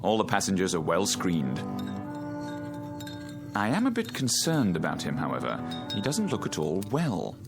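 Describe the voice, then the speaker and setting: An actor in a radio drama playing a steady ship's captain, polite, measured and formal. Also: male voice, sits low, gravelly, over music, exaggerated voice. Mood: courteous. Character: courteous, steady ship's captain, a man in authority.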